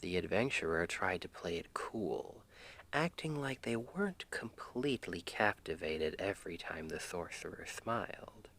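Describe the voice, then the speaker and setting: A man playing a tender, playful boyfriend in an ASMR roleplay, speaking in a gentle, intimate half-whisper to soothe his partner to sleep. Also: on the low side, heavily acted. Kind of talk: ASMR roleplay, soft bedtime storytelling.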